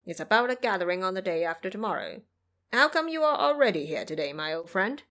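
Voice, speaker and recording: A woman voicing a cunning dark male monster king who talks with courtly politeness, in a storybook reading. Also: medium pitch, expressive, quick pace, lightly acted. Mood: puzzled.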